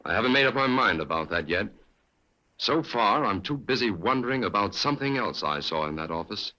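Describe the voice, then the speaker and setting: An actor playing a calm, polite detective who asks probing questions in an old radio drama. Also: male voice, lowish voice, fairly quick, lo-fi sound. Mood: thoughtful. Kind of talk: 1940s radio acting.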